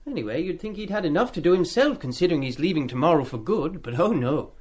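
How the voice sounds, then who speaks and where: Radio play schoolboy, mid-teens, British: lightish high voice, very lively intonation, eager, polite, indiscreet. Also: male voice, fast talker. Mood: aggrieved. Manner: chatty, deferential with schoolboy slang.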